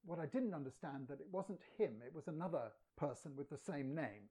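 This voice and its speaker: A man's voice: a little high, lively intonation, brisk, warm tone, husky. A man who grew up partly in England, chatting as a guest poet and translator, telling anecdotes and laughing now and then. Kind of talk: casual storytelling.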